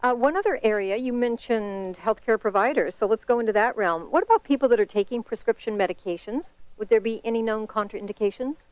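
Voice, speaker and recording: A woman's voice: fairly high pitch, brisk, hardly any pauses, no echo. Female call host, slightly dull dry audio. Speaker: host, interviewer. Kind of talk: hosting a promotional conference call interview. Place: conference call.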